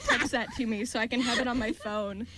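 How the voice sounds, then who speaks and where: Woman scriptwriter in casual radio group chat, high animated voice, loud chatter behind her. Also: brisk. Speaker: scriptwriter on an amateur radio drama show.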